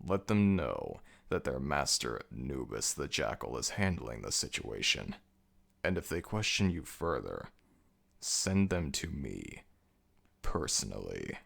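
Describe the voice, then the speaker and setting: A man playing a commanding, brooding ancient jackal god of the dead in an ASMR audio roleplay, with a gravelly, very deep voice and a slow, imperious delivery. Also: sing-song, fairly bright tone, heavily acted. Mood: scheming, commanding.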